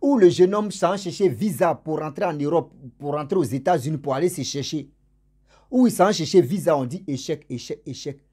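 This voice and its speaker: A man with a cold and a cough, telling a story to his followers in a casual spoken way. He repeats words for emphasis and swings between loud stressed words and endings that fade low. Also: moderate pace, slightly rough. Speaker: storyteller for his channel's subscribers.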